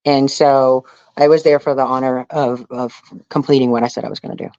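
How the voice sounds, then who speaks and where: A woman's voice: very deep voice, steady tone, a little fast, dark tone, no echo. Dull lo-fi audio, emphatic conversational woman. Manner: emphatic, conversational, often checking with listener. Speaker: guest, reality survival show contestant. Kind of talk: casual interview talk.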